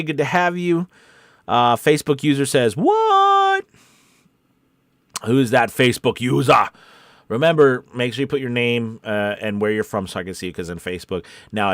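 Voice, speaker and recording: A man's voice: mid-range voice, no room sound, studio quality. An American man hosting a casual live stream as a coach, chatting to viewers in an emphatic way and repeating his key points. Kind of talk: casual livestream chat reading out viewer comments.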